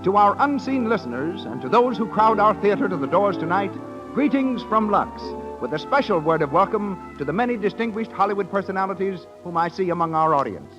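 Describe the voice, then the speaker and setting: High, animated 1930s American radio announcer man. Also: a little fast. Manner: formal, declamatory. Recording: dull 1930s radio broadcast with music.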